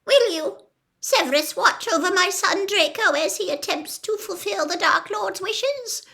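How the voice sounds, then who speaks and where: A desperate mother in a storybook, pitched far above an everyday voice. She is frantic and tearful, pleading for her son with big swoops of emotion. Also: lively intonation, measured pace, sharp tone, exaggerated voice. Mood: solemn, tense. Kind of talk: audiobook character voice.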